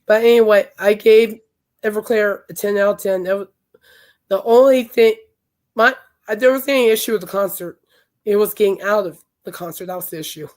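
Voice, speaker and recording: Hoarse chatty woman podcast host. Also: mid-range voice, brisk, darkish tone. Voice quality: raspy, worn throat. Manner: chatty, enthusiastic storytelling. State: hoarse, voice shot from illness. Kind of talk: casual rambling chat.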